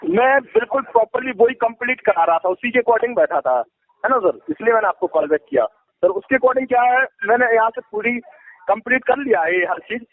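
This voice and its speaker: A man making a phone sales pitch, insistent, repetitive and evasive. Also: animated, fairly quick. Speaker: advisory company agent on a sales call. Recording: tinny, echoey phone call recording.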